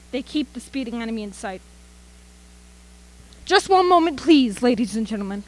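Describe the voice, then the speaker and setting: A serious radio announcer played by a woman delivers urgent news bulletins in a high voice. The reading is formal and measured, in the manner of a radio drama. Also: low-quality recording. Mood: flustered, formal. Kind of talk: radio play newsreading. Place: school gym used as theater.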